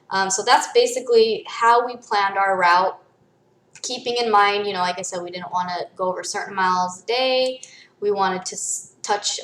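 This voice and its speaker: A woman's voice: mid-range voice, fairly bright tone, reverberant, rough recording. A middle-aged American woman explaining things step by step in casual, informal vlog talk. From United States (born in Michigan, raised in Arizona from age seven or eight). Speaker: vlogger.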